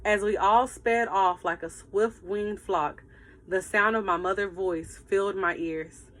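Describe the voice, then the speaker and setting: A woman teacher reading a story aloud to children in an animated, emphatic way, with asides to her listeners. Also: medium pitch, unhurried, low-quality recording.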